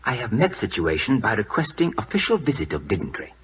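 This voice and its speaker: A man's voice: fairly low pitch, wildly swooping pitch, fast, a bit bright, slight background noise. An actor playing a proud, impatient king of Siam in a 1940s radio drama, with a put-on Siamese accent and clipped, formal broken English. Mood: indignant, commanding. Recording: dull lo-fi old radio recording.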